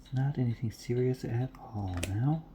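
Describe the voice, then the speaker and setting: A man's voice: mid-range voice, moderate pace, noisy background. A congested man chatting casually and rambling, repeating himself, at home at night. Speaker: craft vlogger. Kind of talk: casual chat to camera. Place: home room at night, lamp on.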